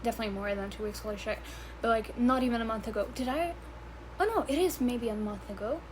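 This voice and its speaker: A woman's voice: fairly high pitch, fast talker, a little mellow, a little hiss or hum. A woman in her early twenties, chatting casually and giggling on her vlog, swearing and stumbling over words in English, her third language. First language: not English; English is her third language. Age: 20s. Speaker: vlogger. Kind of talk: casual rambling vlog chat.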